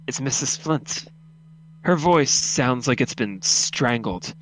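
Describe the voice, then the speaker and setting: A man's voice: medium pitch, animated, slow pace, a bit bright, low-quality recording. Male narrator for a live horror read-through, mid-range voice, light background noise on a home mic.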